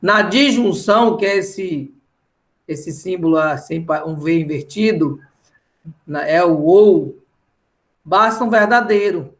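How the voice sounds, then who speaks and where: Brazilian man, middle-aged, high-pitched voice, slow delivery, didactic online lecture, frequent tag questions. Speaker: mathematics teacher. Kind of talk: lecture explaining slides in an online lesson.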